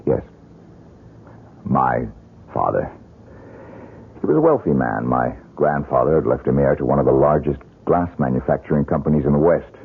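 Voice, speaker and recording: A troubled young man, brooding and bitter, in an old radio mystery. His low, very husky voice moves in dramatic, halting swings on a muffled lo-fi recording. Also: lively intonation, heavily acted.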